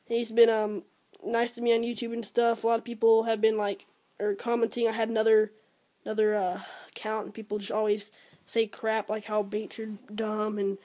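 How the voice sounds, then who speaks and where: A teenage American boy with a fairly high, youthful voice chats casually to his camera. His delivery is very flat and a bit hesitant, like an unscripted home vlog. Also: normal speed, no echo. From Georgia, United States. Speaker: fishing vlogger. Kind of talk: casual unscripted product showcase. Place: room at home. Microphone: handheld camera at arm's length.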